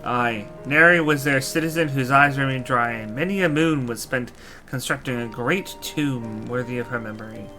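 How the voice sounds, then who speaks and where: A man's voice: mid-range voice, normal speed, lightly acted, low-quality recording. A man voicing a courtly old knight reborn as a machine, telling his tale in formal, archaic, solemn speech for a fantasy game. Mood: solemn, proud. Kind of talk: fantasy game character dialogue. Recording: music behind the voice. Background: game music.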